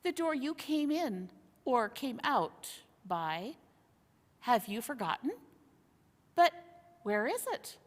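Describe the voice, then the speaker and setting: An earnest young boy king from a storybook , voiced by a woman reader. The pitch is on the high side and moves freely as he explains things thoughtfully. A long hall reverb trails the words. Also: big pitch swings, slow, long pauses, heavily acted. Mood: puzzled musing. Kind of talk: storybook character dialogue.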